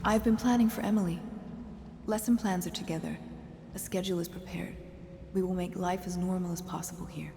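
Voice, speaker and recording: A woman playing a caring, proper governess in a video game, speaking politely and gently in an orderly, prim manner. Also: lowish voice, fairly even intonation, brisk, vocal fry, slight background noise. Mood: earnest. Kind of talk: video game character acting.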